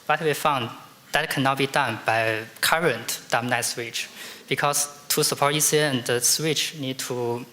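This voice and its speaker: A man answers audience questions at a conference podium microphone. His voice is bright and sharp, and he answers in halting bursts with many fillers. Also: medium pitch. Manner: halting, with repeated fillers and restarts. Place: large conference hall.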